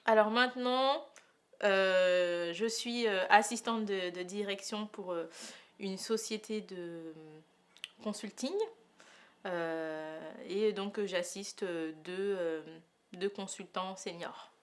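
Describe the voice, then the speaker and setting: A woman of thirty with a mid-range voice. She tells her own story as an unscripted testimonial, hesitating and pausing often as she searches for the next phrase. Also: medium pitch, fairly even intonation. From Paris, France. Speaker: testimonial interviewee. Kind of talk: spontaneous personal testimonial.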